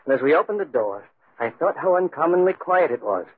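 An actor playing a plain-spoken, cautious Scottish tenant who narrates an eerie tale in a 1940s radio drama, husky-voiced. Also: male voice, a little high, sing-song, brisk, no room sound. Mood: storytelling. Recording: tinny, dry 1940s radio recording.

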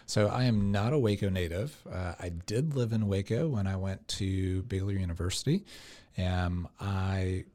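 A man's voice: low voice, moderate pace, mellow. A man speaking as an interview guest in a casual, upbeat, promotional way. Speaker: interview guest, sports commission executive director.

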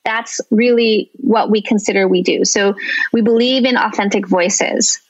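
A middle-aged woman raised between Venezuela and the United States, speaking as a guest in a conversational interview, animated and quick to laugh. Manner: animated, laughs often, high bursts when quoting others. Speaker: guest, company founder and illustrator. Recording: slightly dull lo-fi audio.

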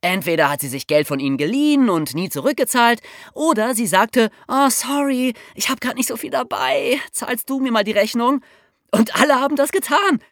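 A woman's voice: on the high side, animated, moderate pace, no room sound, clean studio sound. A woman with a German accent hosting a podcast, telling a true-crime story in a casual chat, with wry asides and frequent laughs. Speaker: podcast host.

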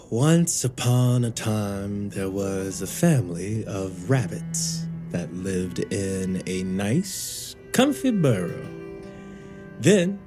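An actor playing a devoted but wary father and former slave in a period audio drama, with a folksy manner. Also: lowish voice. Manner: folksy, expressive.

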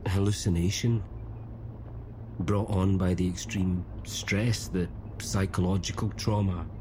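An actor playing a weary, haunted survivor with a hesitant, guarded way of speaking in an audio drama. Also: male voice, deep voice, fairly even intonation, unhurried. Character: weary, haunted, reticent male space mission survivor. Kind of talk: naturalistic audio drama acting. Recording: noisy vehicle ambience behind dialogue.

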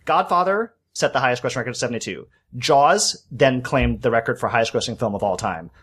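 A man's voice: mid-range voice, lively intonation, a little fast, fairly bright tone, slightly rough. A man from California, co-hosting a podcast, explains film history in a chatty way and often repeats his words as he talks. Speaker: podcast co-host. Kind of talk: casual chat.

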